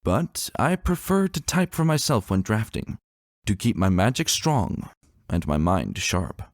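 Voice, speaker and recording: A man's voice: on the low side, sing-song, measured pace, no room sound, studio quality. A man playing an earnest, self-deprecating wounded soldier prince, a stallion narrating his own dramatic story. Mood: reflective, measured.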